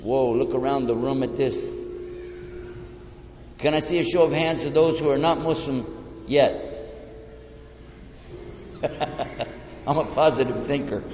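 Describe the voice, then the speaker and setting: A man's voice: medium pitch, a little slow, long pauses, a little mellow, a little hiss or hum. A man from Texas with a Texan accent, giving a joking, folksy talk to a live audience in a large hall. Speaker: lecturer. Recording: echoey hall, dull lo-fi sound.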